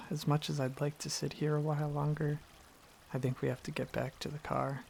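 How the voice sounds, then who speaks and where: A man playing a gentle, affectionate dragon boyfriend in an intimate ASMR roleplay, speaking softly and earnestly with some hesitant rambling. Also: a little high, little pitch movement, moderate pace, a little hiss or hum. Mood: content, reluctant. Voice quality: soft, husky, slightly gravelly, breathy.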